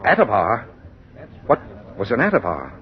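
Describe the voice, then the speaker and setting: Haunted, bewildered narrator with dramatic swings. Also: male voice, fairly low pitch, huge pitch swings. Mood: confused. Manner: halting, fragmented, intense first-person delivery. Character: bewildered, troubled man narrating a strange dreamlike ordeal. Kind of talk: 1940s radio drama acting. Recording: dull old radio drama recording.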